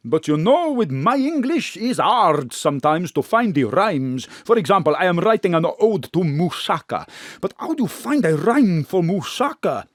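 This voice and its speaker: A man's voice: high voice, sing-song, normal speed, dry recording, studio quality. A man playing a flirtatious Greek landlord and fish fryer in a comic audio drama, with a Greek accent and showy broken English. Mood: confiding.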